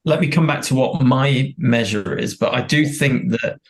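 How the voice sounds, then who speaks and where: A man with a gravelly edge speaks at a middling pitch. He talks in an earnest, reflective way, often restarting sentences as he thinks. He is a performance director being interviewed on a podcast, and he grew up in Thailand and India. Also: moderate pace.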